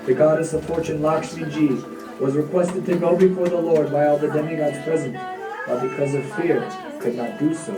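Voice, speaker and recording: A narrator of unclear sex reads a scripted devotional story in measured phrases. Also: mid-range voice, fairly even intonation. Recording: echoey, distant, music behind.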